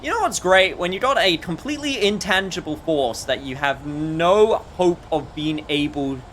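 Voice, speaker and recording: A man giving casual, rambling, jokey live commentary while he plays a video game, with animated outbursts. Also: on the high side, moderate pace, slight background noise. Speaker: streamer playing a video game.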